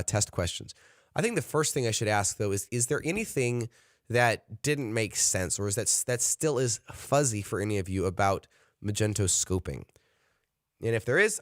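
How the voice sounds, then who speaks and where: A man's voice: lowish voice, dry recording, clean studio sound. A man leading an online study session, earnest and encouraging, with frequent fillers. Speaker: study group leader.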